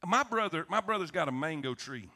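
Man, Southern US accent, pastor, high sharp voice, animated folksy preaching, storytelling. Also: brisk. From South Florida, United States. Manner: folksy, animated, repeats phrases, calls for amens. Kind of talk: sermon, folksy storytelling to a congregation.

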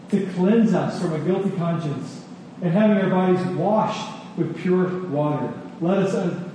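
A man preaching an expository sermon, earnest and emphatic, with dramatic pauses and repetition. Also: high voice, dark tone. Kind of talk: expository sermon with scripture reading. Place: large reverberant indoor hall. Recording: distant, echoey large hall, faint noise.

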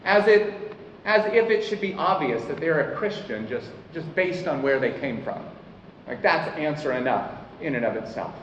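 A man preaching a sermon as pastor, earnest, slowing down to stress his key points. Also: fairly high pitch, expressive, a little hiss or hum. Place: church hall. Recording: echoey hall, mic at a distance, dull sound.

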